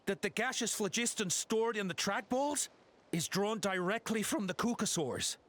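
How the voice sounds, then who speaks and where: A man's voice: high voice, expressive, moderate pace, a bit bright, a little hiss or hum. A man playing a nervous, stammering video game henchman who pleads as he confesses. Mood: anxious explaining. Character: nervous male henchman confessing under pressure. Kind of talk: video game dialogue acting.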